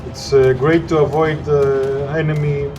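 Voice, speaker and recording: A man with a Greek accent, a non-native English speaker, narrating his gameplay in halting sentences full of "uh"s. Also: on the high side, flat delivery, lo-fi sound, over music. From Greece. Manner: halting, searching for words with frequent "uh". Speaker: gameplay commentator. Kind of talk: gameplay commentary.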